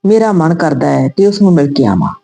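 A woman's voice: sits low, sing-song, brisk, vocal fry, warm tone, exaggerated voice. A woman voicing a wistful, regretful old retired soldier reminiscing in a told story. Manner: reminiscing, sentimental.

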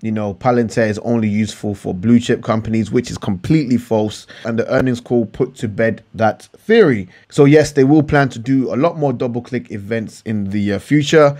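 Male finance vlogger, mid-pitched, explains in an informal, emphatic way, restating points for stress. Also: normal speed, hardly any pauses, a little mellow. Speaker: finance YouTuber. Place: indoor room at a computer.

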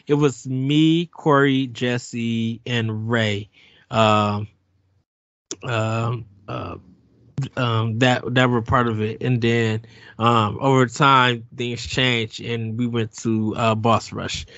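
A man's voice: mid-range voice, level tone, slow pace, a bit bright, dry recording. A man in his forties hosting a casual podcast interview, rambling and correcting himself with many ums. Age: 40s. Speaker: podcast host. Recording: dull lo-fi dry audio.

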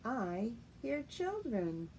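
A middle-aged woman, a teacher reading a picture book aloud to young children in a deliberate, sing-song, expressive way, recorded at home. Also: fairly high pitch. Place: living room at home, indoors. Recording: faint steady noise, home room recording.

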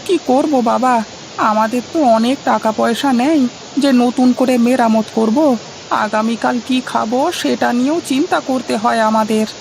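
A woman's voice: on the high side, moderate pace, creaky, a little hiss or hum. A woman voicing a poor, worried village father in a cartoon dub.

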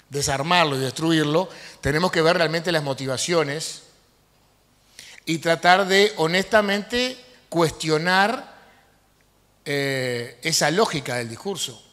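A middle-aged man giving an animated conference lecture on a handheld mic in a large university auditorium, with fillers and restarts. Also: a little high. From Uruguay. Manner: animated, gestures while speaking, frequent fillers and restarts. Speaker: lecturer and politician. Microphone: handheld microphone. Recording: long hall reverb.

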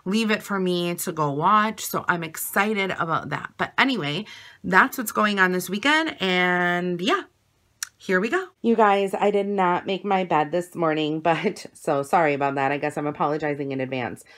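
Raspy airy-voiced lady chatting to her phone cam at home, kinda breathy from asthma, bright edgy tone, lots of ums. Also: fairly low pitch, moderate pace. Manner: chatty, rambling with frequent ums. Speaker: vlogger. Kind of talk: casual chat to camera. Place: dim bedroom at home. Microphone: webcam or phone at arm's length.

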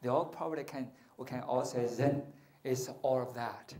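A man's voice: medium pitch, moderate pace, warm tone. A middle-aged man teaching meditation to an audience, joking and asking them questions, speaking into a handheld mic in a large hall. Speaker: martial arts and meditation teacher. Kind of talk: playful spiritual talk to an audience. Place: large hall with curtained stage. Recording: echoey hall.

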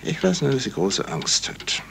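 An actor playing a thoughtful, suspicious amateur sleuth in a deliberate 1970s German radio crime drama style. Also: male voice, mid-range voice, very animated, vocal fry. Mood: pondering. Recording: hissy old radio play recording.

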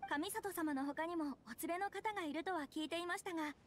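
A woman's voice: extremely high pitch, fairly quick, a little hiss or hum. A woman playing a polite young noble lady in a Japanese anime game, with formal, refined manners. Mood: pleasantly surprised.

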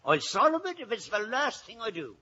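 An actor playing a proud, stubborn old Irish village policeman in an old-time radio drama, with a husky voice. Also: high-pitched, big pitch swings, normal speed, sharp tone, heavily acted. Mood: wounded, determined. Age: older. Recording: dull old radio recording.

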